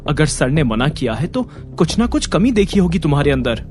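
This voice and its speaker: A man's voice: fairly high pitch, very animated, a little fast, darkish tone, a little hiss or hum. A man playing a blunt, determined, protective father in a Hindi audio drama. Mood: scolding.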